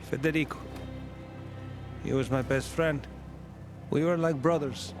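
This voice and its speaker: A curious young lad from a video game voiced by a man, somewhat high in pitch. He sounds earnest and hesitant, a soft-hearted son, with music clearly playing behind. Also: steady tone, unhurried, close to an everyday voice. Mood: wistful. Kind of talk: naturalistic video game dialogue.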